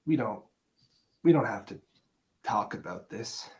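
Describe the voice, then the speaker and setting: A man's voice: on the low side, expressive, a little slow, a little mellow. A man acting an earnest, awkward, conventional boyfriend with a hesitant delivery, in a dramatic script reading. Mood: hurt, restrained. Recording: dull, lo-fi sound.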